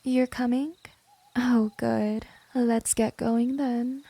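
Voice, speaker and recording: A woman voicing a gentle, teasing, seductive vampire hypnotist in a soft, breathy ASMR roleplay, coaxing and soothing. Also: on the high side, fairly even intonation, slow pace, dry recording, exaggerated voice. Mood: calm, inviting. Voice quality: soft, breathy, often whispering.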